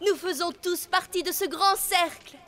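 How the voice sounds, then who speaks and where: A woman's voice: very high voice, measured pace, a bit bright. A woman voicing a brave, noble young princess in a cartoon dub, speaking earnestly and solemnly. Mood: solemn, proud. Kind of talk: French cartoon dubbing.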